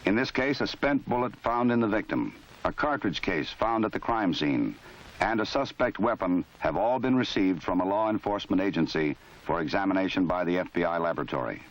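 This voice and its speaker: A man giving formal, measured scripted narration for an old training film. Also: low voice, animated. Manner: measured, formal, matter-of-fact. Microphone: voice-over. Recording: dull lo-fi 1970s film soundtrack.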